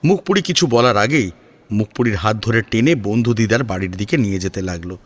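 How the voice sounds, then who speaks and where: A man's voice: fairly low pitch, expressive, fairly quick, dry recording. A man narrating a scripted audio story in a dramatic storytelling style.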